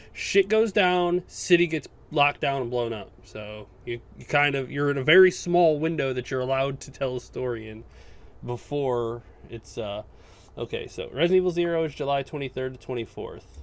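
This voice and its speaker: A man co-hosting a casual gaming chat, explaining details in an insistent, exacting way. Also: mid-range voice. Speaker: podcast co-host. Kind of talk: casual video game chat.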